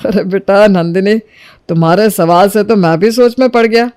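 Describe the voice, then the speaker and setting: A man's voice: high-pitched, normal speed, a little mellow, smooth, no room sound, clean studio sound, natural voice. A man voicing a genial, easily amused middle-aged male relative in a cartoon story. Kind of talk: Hindi cartoon moral story dialogue.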